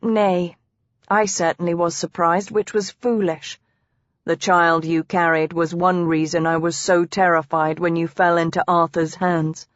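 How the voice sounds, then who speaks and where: A woman's voice: fairly low pitch, little pitch movement, unhurried, no echo, close to an everyday voice. A woman voicing a tender, teasing husband in a historical romance audiobook, with formal old-fashioned phrasing. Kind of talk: audiobook character dialogue. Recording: dry, slightly dull close recording.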